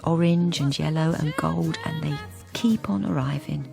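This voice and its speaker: Lonely wife narrator: lowish female voice, intimate and reflective, music underneath, radio play. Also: measured pace, vocal fry, full character voice. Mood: wistful, hushed. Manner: intimate, reflective, softly hesitant. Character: wistful, lonely invisible wife narrating her life. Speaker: lead character and narrator. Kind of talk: radio drama monologue.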